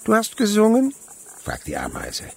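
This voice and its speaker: A man's voice: high-pitched, wildly swooping pitch, vocal fry, breathy edge, exaggerated voice. An actor in a German radio play playing an older male naturalist, dreamy and fond of insects, with a poetic, theatrical manner. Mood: musing, lively. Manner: poetic, musing, theatrical, breaks into slow singing.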